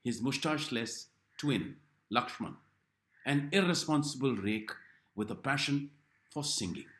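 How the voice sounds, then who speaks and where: A man narrates a scripted review in a middle-pitched voice. His delivery is dramatic and wry, with deliberate pauses between phrases. The recording has a noticeable roomy echo. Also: sing-song, a bit bright.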